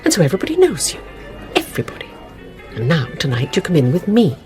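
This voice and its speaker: An actress playing a suspicious, wounded middle-aged wife with a sarcastic, pointed manner in a naturalistic radio drama. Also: female voice, deep voice, wildly swooping pitch, fast talker, mellow, soft background music. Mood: insistent, sardonic.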